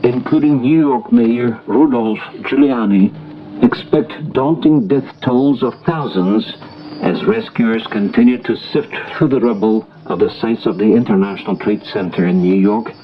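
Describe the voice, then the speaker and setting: A man reading a formal, scripted international news bulletin as a radio newsreader, in a measured delivery. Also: medium pitch. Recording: noisy, muffled shortwave radio broadcast recording.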